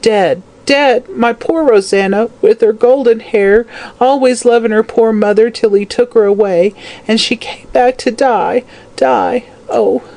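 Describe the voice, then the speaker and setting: A shrill, high-pitched old hag from a storybook , performed by a woman narrator, dying and terrified. She wails, pleads and curses with harsh, greedy desperation, her voice pitched far up. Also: lively intonation, measured pace, darkish tone, natural voice. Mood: grief-stricken wailing. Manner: wailing, pleading, cursing.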